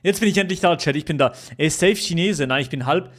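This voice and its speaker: Chatty young streamer, slightly high voice. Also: male voice, fairly quick, fairly bright tone. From Switzerland. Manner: chatty, jokey, repeats himself, swears at chat. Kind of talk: casual livestream chat with viewers.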